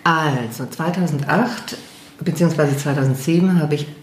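A woman's voice: low voice, moderate pace, a little hiss or hum. A middle-aged woman singer talking casually as an interview guest, hesitant and full of fillers, with self-deprecating laughs. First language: German. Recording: echoey room.

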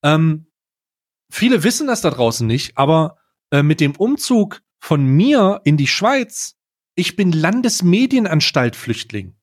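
A man's voice: on the high side, very animated, unhurried. A man talking casually as a podcast host, emphatic and fond of posing rhetorical questions.

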